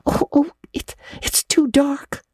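A woman's voice: slow, no echo, clean studio sound, natural voice. A woman voicing an earnest, romantic young girl in a dramatic storybook reading, gushing and breathless, tripping over eager repetitions. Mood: anxious, reluctant. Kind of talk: dramatic audiobook reading of classic children's literature.

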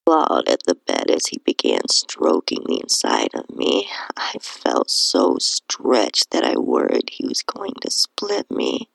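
A woman narrating a first-person erotic story in an intimate, breathy way, often dropping toward a whisper. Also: very deep voice, wildly swooping pitch, slow pace, poor audio. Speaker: podcast host reading own stories.

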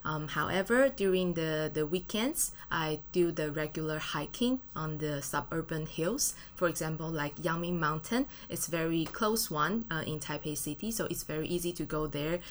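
A woman's voice: deep voice, moderate pace, few pauses, slight background noise. A woman from Taiwan, answering as a studio guest, speaking English casually with fillers and grammar. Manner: friendly, casual, uses fillers and non-native grammar. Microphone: studio mic.

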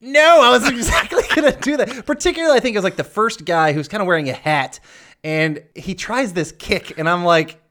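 A man's voice: sits high, expressive, fairly quick, pushed and bright. A man co-hosting a podcast in casual chat, rambling through a film's scenes with playful quoted lines, laughing and swearing now and then. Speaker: podcast co-host.

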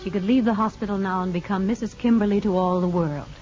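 Woman acting a mellow, reassuring parole officer. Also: mid-range voice, soft background music, close to an everyday voice. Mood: measured storytelling. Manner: calm, reassuring, measured. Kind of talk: 1950s radio drama acting and narration. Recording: dull 1950s radio recording.

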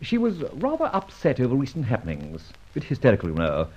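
A man playing a suave, flippant gentleman adventurer who teases wryly, in an old radio crime serial with dull sound. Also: mid-range voice, huge pitch swings, quick pace, husky. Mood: teasing, smooth-talking. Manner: flippant, teasing, debonair.

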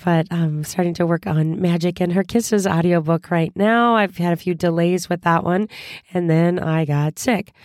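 Hoarse woman host, cheerful and chatty, lower voice, dry close recording. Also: steady tone, normal speed, darkish tone, dry recording. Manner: chatty, upbeat, laughs often. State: hoarse from a cold. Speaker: podcast host. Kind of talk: casual solo podcast chat.

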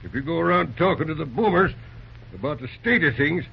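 Casting: surly railroad shop villain, radio serial. American, gravel-throated, slightly high, mocking, slangy, muffled broadcast sound. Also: male voice, expressive, fairly quick, full character voice. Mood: surly, grudging. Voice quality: gravelly, raspy. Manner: sneering, folksy slang. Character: surly, scheming railroad master mechanic, mocking and obstructive. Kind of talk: old-time radio drama acting. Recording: dull, lo-fi old radio broadcast recording.

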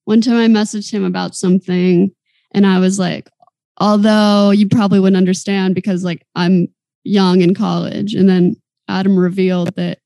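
A woman's voice: mid-range voice, moderate pace, gravelly, dry recording. A woman in her twenties co-hosting a podcast, chatting casually and telling stories with giggles. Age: 20s. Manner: chatty, giggly, animated storytelling. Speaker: podcast co-host. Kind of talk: casual chat.

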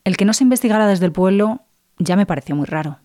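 A woman's voice: medium pitch, animated, fast, warm tone, close to an everyday voice. A woman playing a murder victim's earnest, grieving sister, giving documentary-style testimony and insisting on justice. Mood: wistful, doubtful.

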